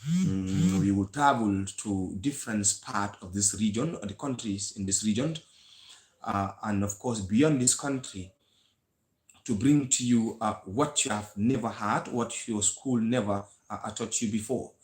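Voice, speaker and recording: A Gambian man with a Gambian English accent hosts a live history stream in an earnest, lecturing manner, stopping often on fillers and restarting his sentences. His voice sits fairly low. The sound is roomy and echoey. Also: a little slow, darkish tone. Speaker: host of a history channel.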